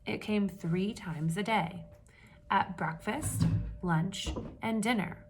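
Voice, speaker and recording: A woman reading a picture book aloud to children with lively expression, pausing to ask her listeners questions in hushed asides. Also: medium pitch, soft background music. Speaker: read-aloud storyteller for children.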